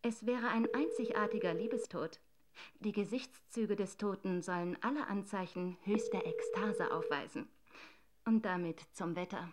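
An actress playing a polished, sensational television news presenter in a radio drama. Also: female voice, medium pitch, normal speed, heavy background noise, close to an everyday voice. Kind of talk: scripted television news reading.

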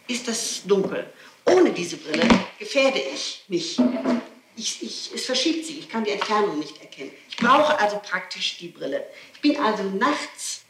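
A woman being questioned gives her statement emphatically and insistently, repeating herself as she goes. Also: mid-range voice. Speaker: person being questioned by police. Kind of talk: statement under interrogation. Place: echoey interrogation room. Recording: noisy, echoey archival tape, distant mic.

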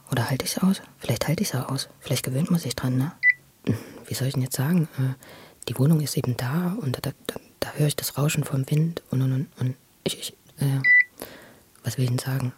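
A speaker talking casually and haltingly, often repeating words in colloquial speech. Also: mid-range voice, darkish tone. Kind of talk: casual private conversation. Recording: very noisy informal recording with clicks.

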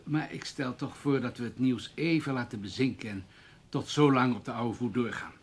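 An actor playing a courteous, conciliatory newspaper colleague in a Dutch radio play, measured and formal, with a Netherlands accent. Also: male voice, medium pitch, slight background noise, close to an everyday voice. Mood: diplomatic.

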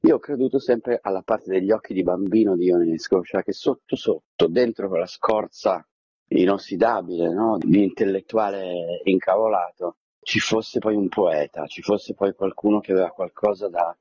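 Really raspy low guy, theatre director chatting in an interview, muffled dry recording. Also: moderate pace, warm tone, dry recording. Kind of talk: conversational interview, reflective storytelling. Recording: dull, lo-fi, dry.

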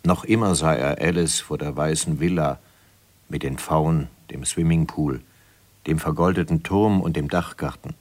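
A man narrating a 1970s radio play in a measured, literary storytelling manner. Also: very deep voice, darkish tone.

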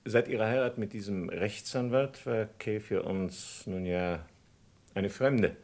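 A man's voice: lowish voice, unhurried, vocal fry, darkish tone. A man playing a weary, curt older father in mourning in a German crime radio play, restrained and formal. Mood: curt, subdued.

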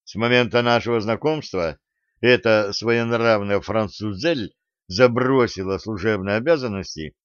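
Low-voiced man reading a novel, dry muffled sound. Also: measured pace, no room sound. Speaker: audiobook narrator. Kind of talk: scripted narration of a novel, first person.

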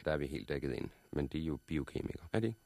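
Very deep-voiced courteous pilot. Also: male voice, lively intonation, creaky, dark tone, no room sound, full character voice. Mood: courteous, businesslike. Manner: courteous, matter-of-fact, explanatory. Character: confident helicopter pilot, messenger from an island colony of survivors. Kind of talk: 1960s radio drama acting.

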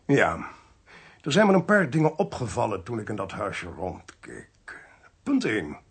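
An actor playing an inquisitive, genial amateur sleuth in a radio play, with a husky, gravelly voice and an expansive, teasing way of talking. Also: male voice, lowish voice, wildly swooping pitch, measured pace, exaggerated voice. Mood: intrigued.

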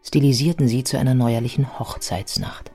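A woman narrating a radio play from a script in a measured, factual storytelling manner. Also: very deep voice, fairly even intonation, warm tone, slightly rough, soft background music.

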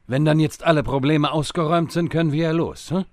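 An actor playing a stern, self-important time-travelling wizard with a measured, dry delivery in a comedic fantasy audio drama. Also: male voice, fairly high pitch, no echo, natural voice. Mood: businesslike.

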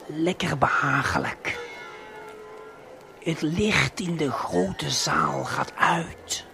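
Male narrator, theatrical and unhurried with a creaky edge, for a 1970s audio story. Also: a little high, sharp tone. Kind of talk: theatrical scripted radio-play narration addressing the listener. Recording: 1970s vinyl audio play with music.

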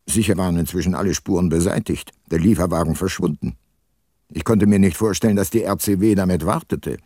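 An actor playing a world-weary private detective who narrates his case soberly in a crime radio drama, with a husky voice. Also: low voice, a little mellow, no echo, clean studio sound, heavily acted.

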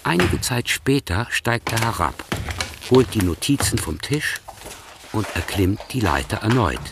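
Deep-voiced radio play narrator. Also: male voice, big pitch swings, measured pace, creaky, noisy background.

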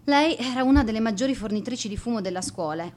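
An actress playing a lonely outcast high school girl in a radio drama monologue, confiding in a wry, self-deprecating way. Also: lively intonation, quick pace, darkish tone, a little hiss or hum, lightly acted. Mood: rueful.

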